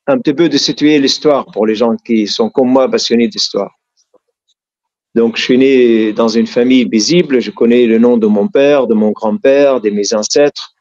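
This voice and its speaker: A middle-aged man from Syria, speaking French with an Arabic accent in an earnest, storytelling way. His voice is mid-pitched, and he often hesitates and restarts mid-sentence. The recording is slightly dull and lo-fi. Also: a little mellow. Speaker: interviewee. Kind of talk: casual storytelling interview.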